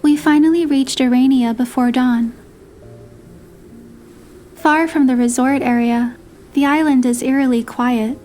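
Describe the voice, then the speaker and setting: A young heroine narrating a romance game story, voiced by a woman. Her voice is fairly high, reading scripted first-person narration over soft music. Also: steady tone, measured pace, pauses often. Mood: gentle storytelling.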